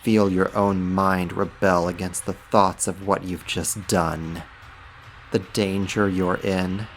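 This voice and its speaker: ASMR roleplay archdemon, male performer: low-voiced, measured, mocking, menacing, dominant. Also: flat delivery, soft background music. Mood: menacing, relishing. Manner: theatrical, taunting, slow and deliberate.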